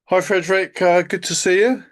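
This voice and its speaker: Man, live stream host, chatty, halting speech, video call mic. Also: sits high, little pitch movement. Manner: chatty, halting, many fillers. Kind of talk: casual live webinar talk.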